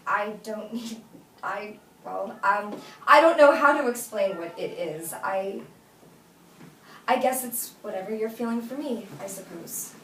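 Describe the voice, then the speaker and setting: An actress playing an exasperated but fond woman gently turning down her best friend, in naturalistic, hesitant film dialogue. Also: medium pitch, expressive, a little slow. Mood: gentle, apologetic. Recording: roomy, distant mic with faint noise.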